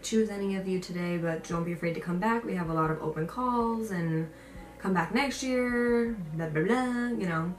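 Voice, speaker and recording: A young woman chatting casually as a vlogger, informal and emphatic, repeating phrases as she tells stories and gives encouraging advice. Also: fairly low pitch. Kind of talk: casual chat to camera, storytelling and advice. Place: indoor room. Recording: roomy echo, distant mic, faint noise.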